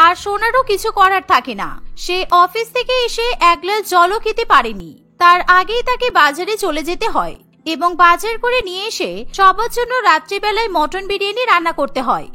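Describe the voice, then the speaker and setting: A woman's voice: extremely high pitch, big pitch swings, brisk, pushed and bright, soft background music. A woman reading scripted narration for a cartoon family story.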